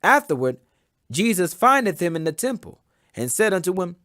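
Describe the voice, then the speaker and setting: A man giving a solemn, emphatic and measured dramatic reading of scripture as an audiobook. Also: sits high, big pitch swings, fairly bright tone, no room sound, clean studio sound. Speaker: Bible reader.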